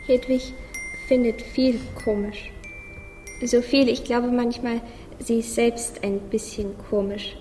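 A woman's voice: fairly high pitch, steady tone, slow pace, mellow. A woman playing a naive, sad little boy musing simply in a radio play. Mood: wistful. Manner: simple childlike musing, repeating phrases. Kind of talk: radio play inner monologue. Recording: long reverb, music clearly behind.

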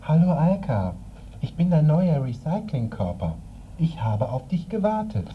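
An actress playing a lovestruck, clingy, eerily gentle character in a comic radio play, cooing and insistent. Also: female voice, sits low, sing-song, measured pace, a little hiss or hum. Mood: cooing, welcoming. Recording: very muffled, phone-like radio recording.

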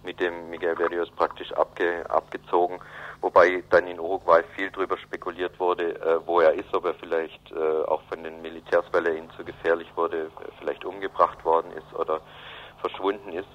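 A man giving a hesitant, analytical explanation in an unscripted radio interview, often pausing and rephrasing. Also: deep voice, level tone. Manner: hesitant, halting, with frequent ums and rephrasing. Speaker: interviewee explaining South American politics. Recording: dull, band-limited radio recording.

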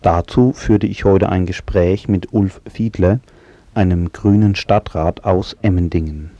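A man's voice: sits low, steady tone, unhurried, warm tone, no room sound, lo-fi sound. A man reading a scripted radio report as a reporter. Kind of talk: scripted news report narration.

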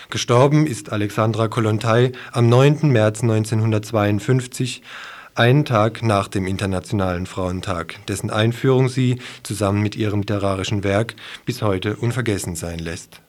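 A man with a slightly low voice presents a radio news magazine. He reads prepared narration and introduces items as a scripted announcer.

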